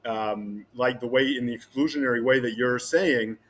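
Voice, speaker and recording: A man giving a guest interview, talking in a halting way with many restarts and repeated words. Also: mid-range voice, slight background noise. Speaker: guest commentator. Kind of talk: interview conversation.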